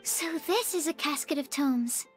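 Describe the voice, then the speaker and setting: Very high-voiced gentle young woman. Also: measured pace. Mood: earnest. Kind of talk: animated game voice acting. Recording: background music.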